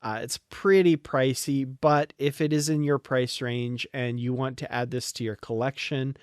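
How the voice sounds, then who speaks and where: A man's voice: medium pitch, steady tone, moderate pace, clear, resonant tone, no echo. Male podcast host, conversational and informal, recorded dry. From Canada. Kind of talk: casual review discussion.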